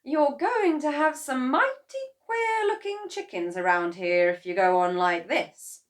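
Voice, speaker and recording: A woman voicing a fretful, nagging farmer's wife with a shrill, whiny tone in a storybook reading. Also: lively intonation, a little slow. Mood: doubtful.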